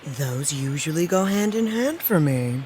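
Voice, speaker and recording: A woman's voice: low-pitched, lively intonation, unhurried, a little mellow, slight background noise. A woman playing a wry, candid actress and supportive friend in an audio drama, with dry, teasing, naturalistic delivery. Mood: probing, dry.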